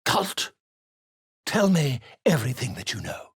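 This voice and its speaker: A man's voice: medium pitch, big pitch swings, a little slow, gravelly, fairly bright tone, slightly rough, no echo, clean studio sound, full character voice. An actor playing an eccentric, pompous male bard and scholar in a video game, speaking in flowery, declamatory phrases.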